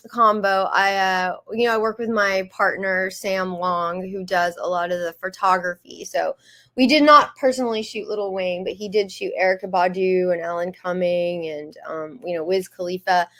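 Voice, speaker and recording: A shy-sounding woman from the United States talks through her answers as an interview guest, pausing often and restarting her sentences. Her voice sits at an ordinary middle pitch, and her delivery is loose and conversational. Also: pushed and bright. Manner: hesitant, many fillers and restarts; self-described shy. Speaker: magazine founder and publisher, guest.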